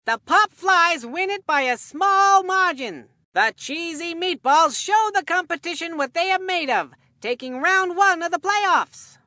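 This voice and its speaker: A peppy cartoon sports commentator calls baseball in a video game. The voice is extremely high and brightly forceful, with punchy, announcer-style phrasing. The sound is close and dry, with no room around it. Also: female voice, no room sound, heavily acted.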